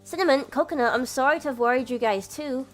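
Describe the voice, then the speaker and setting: Picture a sheepish, exasperated young man telling his own story as a game narrator, read by a woman. The voice stays fairly high with only a slight drop for the role. The delivery is measured and steady. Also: faint music behind, natural voice. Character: young adult man, a flustered older brother who narrates. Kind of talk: reading a visual novel aloud.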